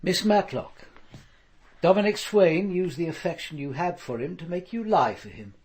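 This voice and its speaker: A man playing a stern, probing police commander in a radio drama, speaking in a measured, incisive way. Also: sits high, expressive, darkish tone, husky. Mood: stern, accusing. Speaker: actor playing the lead detective and narrator. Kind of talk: radio drama acting and narration.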